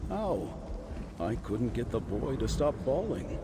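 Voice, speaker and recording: Male royal attendant, unhurried, expressive, deferential, game dialogue, loud background noise. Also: medium pitch. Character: deferential male servant minding royal infants. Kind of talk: scripted video game dialogue.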